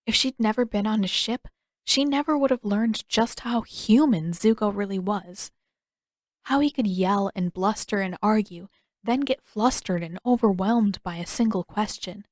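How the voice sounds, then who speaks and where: A woman's voice: medium pitch, moderate pace, a little mellow, no room sound, studio quality. A woman reading fiction aloud as a scripted audiobook narration.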